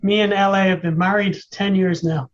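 A young man from Ireland with an Irish accent, a musician guest on a radio show who jokes and talks in a relaxed, self-deprecating way. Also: sits high, a little fast, dull audio. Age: young adult. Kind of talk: casual radio interview.

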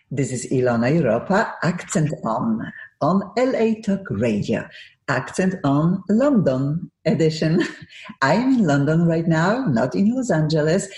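A woman's voice: deep voice, a little mellow, echoey room. A woman from Poland with a Polish accent hosting a radio interview, talking effusively with some broken English. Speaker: radio show host. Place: radio studio.

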